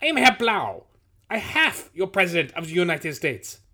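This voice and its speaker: A man's voice: high-pitched, sing-song, normal speed, sharp tone, voice pushed up, full character voice. A man voicing an exasperated, winded German-accented Nazi officer in a comic audio story. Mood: insistent. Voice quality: wheezy.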